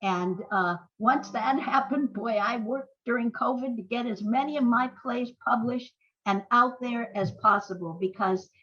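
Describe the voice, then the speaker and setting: A woman's voice: a little high, measured pace, a bit bright. Older woman storyteller on muffled audio. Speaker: guest playwright. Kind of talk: anecdotal podcast interview talk.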